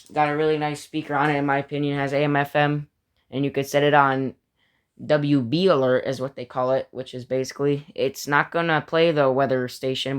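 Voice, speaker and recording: Man, medium pitch, clear smooth voice, casual ranking commentary, hesitant, repeats himself. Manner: hesitant, thinking aloud, repeats phrases. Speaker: video creator ranking a personal collection. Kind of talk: casual unscripted review commentary.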